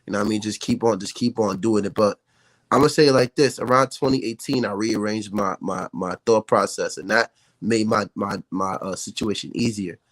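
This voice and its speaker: A man's voice: lowish voice, normal speed, dry recording. An American man, a rapper, talking casually as a podcast guest with slangy speech and frequent check-in tags. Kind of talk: casual interview chat.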